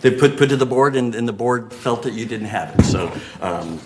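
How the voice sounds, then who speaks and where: A man's voice: mid-range voice, animated, moderate pace, heavy background noise. An older man chairing a community board meeting, procedural and hesitant, with many "um" and "uh".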